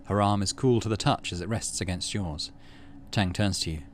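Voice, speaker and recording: A man's voice: on the low side, normal speed, a little hiss or hum. A middle-aged man playing through a game, chatting casually and reading its narration aloud. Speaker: gaming channel host, player.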